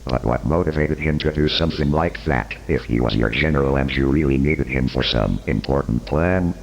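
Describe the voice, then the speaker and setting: A man's voice: extremely low pitch, normal speed, creaky. A man playing a persistent, probing interrogator who questions formally and insistently in a scripted horror interview.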